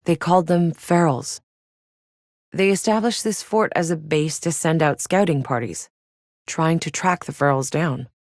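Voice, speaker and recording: A tough, wry female scout with a low voice, recorded very dry and close. She delivers terse, matter-of-fact video game lines like a soldier sizing up hostile ground. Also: a little slow, dry recording. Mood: matter-of-fact, focused.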